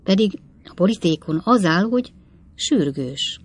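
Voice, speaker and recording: A woman's voice: fairly low pitch, animated, slightly rough. Woman acting coy, teasing young storybook maid. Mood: teasing scolding. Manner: coquettish, exclaiming, mock-indignant. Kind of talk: audiobook character dialogue.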